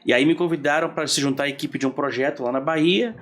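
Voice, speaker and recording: A man in his forties from southern Brazil, a guest on a podcast, telling his story in a relaxed, rambling way with laughs and restarts. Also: fairly high pitch, quick pace. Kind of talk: casual storytelling in conversation.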